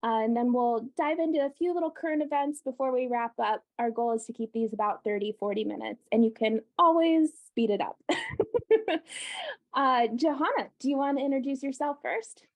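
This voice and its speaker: A woman's voice: high-pitched, expressive, moderate pace, dull audio. A woman from the US co-hosting a casual chat, upbeat and often laughing. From United States. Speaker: podcast co-host.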